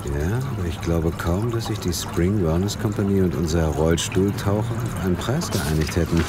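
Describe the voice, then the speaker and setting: A man's voice: sits low, normal speed, very noisy, close to an everyday voice. A man playing a laconic police detective with a dry, collegial manner in a German crime audio drama. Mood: matter-of-fact. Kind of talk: naturalistic German radio drama dialogue.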